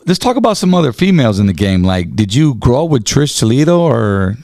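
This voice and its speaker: A man's voice: mid-range voice, big pitch swings, a little fast, darkish tone. A man hosting a podcast in casual chat, loose and joking, swearing freely as he asks questions. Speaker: podcast host.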